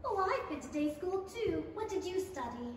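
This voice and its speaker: A curious, plucky little girl played by a young woman, high-pitched and lively. Her prim radio-play lines echo in a hall with slight noise. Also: normal speed, distant mic. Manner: bright-eyed, questioning, prim. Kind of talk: 1930s-style stage radio play acting. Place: small theatre stage. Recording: echoey hall with faint noise.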